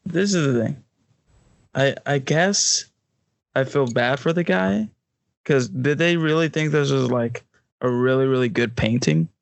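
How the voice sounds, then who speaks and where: An American man with a gravelly, creaky voice co-hosts a podcast in a very dry recording. He banters casually, with a blunt and joking way of talking, at an ordinary middle pitch. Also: a little slow, no echo. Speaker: podcast co-host.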